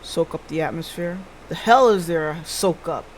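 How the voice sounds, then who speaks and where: A woman voicing a tired, skeptical senior police boss in a visual novel, curt, gruff and grumbling. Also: deep voice, moderate pace, a little hiss or hum, pitched below the performer's own voice, natural voice, poor audio.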